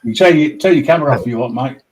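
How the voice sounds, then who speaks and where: A man's voice: medium pitch, racing. A man hosting a casual live stream panel, talking in a rambling, stop-start way and chuckling often.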